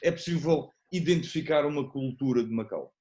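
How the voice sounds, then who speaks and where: A man's voice: medium pitch, brisk, darkish tone. A man moderating a panel, carefully rephrasing his question and apologizing as he breaks in.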